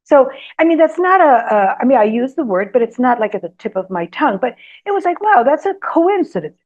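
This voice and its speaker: A woman whose first language is Greek, a guest telling personal stories in an interview. She talks hesitantly with many ums, and grows animated during her anecdotes. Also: sits high, brisk. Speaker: guest author. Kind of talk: storytelling in an interview. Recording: slightly dull lo-fi sound.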